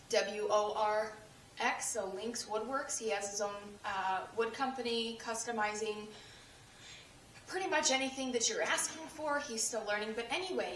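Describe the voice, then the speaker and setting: A young woman with a hoarse, fading voice, chatting through a cleaning demonstration with lots of fillers and restarts. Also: on the high side, fairly bright tone, slight background noise. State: losing her voice. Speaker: cleaning tips creator. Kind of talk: casual how-to demonstration. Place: home kitchen. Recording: echoey room, voice from a distance.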